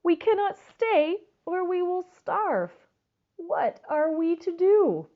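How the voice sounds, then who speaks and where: Storybook grandmother performed by a woman reader: old, reluctant yet kind, emphatic, animated pitch, unhurried, slightly lo-fi. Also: full character voice. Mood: worried. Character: firm old grandma, reluctant but kindly. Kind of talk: children's storybook read-aloud.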